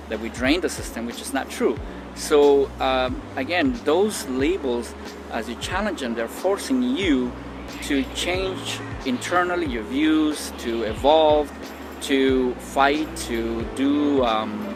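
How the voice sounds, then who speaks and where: Reflective middle-aged man from Costa Rica. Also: mid-range voice. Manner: thoughtful, with frequent ums and restarts. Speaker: interviewee. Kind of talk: reflective personal testimony.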